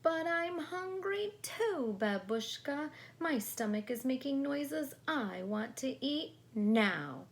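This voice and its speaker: Woman acting a whiny, impatient little girl, high-pitched. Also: lively intonation, slow, few pauses, full character voice. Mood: demanding. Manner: whiny, insistent. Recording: faint background noise.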